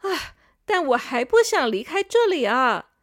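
Scolding big-sister tooth done by a woman narrator, high and worried. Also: big pitch swings, unhurried, fairly bright tone, no echo, clean studio sound, full character voice. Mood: fretful. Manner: fretful, scolding.

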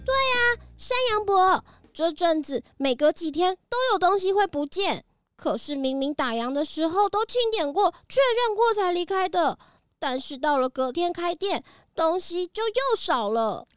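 A woman's voice: extremely high pitch, animated, normal speed, dry recording, studio quality. A woman voicing a young rabbit shop clerk in a children's audio drama, childlike, frank and emphatic. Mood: puzzled.

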